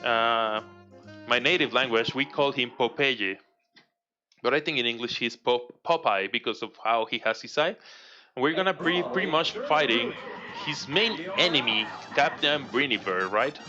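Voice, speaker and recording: A man's voice: mid-range voice, normal speed. A man from Mexico with a Mexican Spanish accent, his first language Spanish, chatting casually through a live speedrun commentary. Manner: relaxed, chatty, laughs often, reads chat aloud. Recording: dull lo-fi stream audio with game music. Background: game music.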